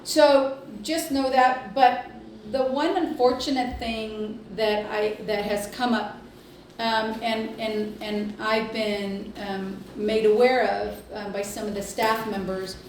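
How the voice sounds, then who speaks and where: Female executive, fairly high voice, halting board-meeting report, very echoey room with distant mic. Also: steady tone, darkish tone, slight background noise. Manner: hesitant, halting delivery with long pauses. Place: indoor public meeting room.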